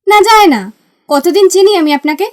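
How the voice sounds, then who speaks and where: Actress doing high voiced young woman, annoyed n guarded, audio love story dialogue. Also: expressive, moderate pace. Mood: angry. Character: guarded, irritated young woman resisting a pushy suitor.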